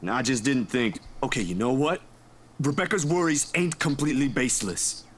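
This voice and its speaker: A man's voice: mid-range voice, lively intonation, moderate pace, a little hiss or hum, voice pushed up, lightly acted. A man voicing a groggy, casual young man, a grumpy but caring friend in a visual novel. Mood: hesitant, worried. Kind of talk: visual novel dialogue read aloud.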